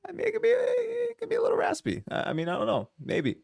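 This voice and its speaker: A man's voice: very high voice, wildly swooping pitch, quick pace, gravelly, darkish tone. A man hosting a reaction video, casually thinking aloud, hedging and restarting his sentences. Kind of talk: casual commentary.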